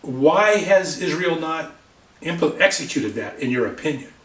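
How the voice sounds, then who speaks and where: A man's voice: on the high side, animated, unhurried, fairly bright tone, echoey room, far from the mic. A man hosting an interview show, talking conversationally and stumbling over words at times. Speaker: talk show host. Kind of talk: interview host.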